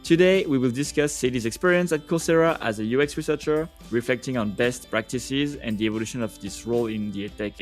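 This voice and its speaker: A man hosting a podcast, delivering scripted introductions and interview questions. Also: mid-range voice. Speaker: podcast host.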